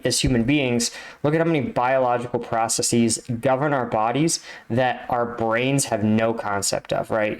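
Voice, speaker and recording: Man, average pitch, reaction video host, casual commentary, explanatory, conversational. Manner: conversational, explanatory, checks in with "right?".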